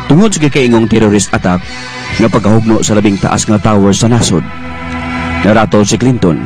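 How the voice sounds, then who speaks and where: A man's voice: fairly low pitch, brisk. A man with a Cebuano accent delivering scripted narration as the storyteller of a radio drama. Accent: Cebuano Filipino. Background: background music.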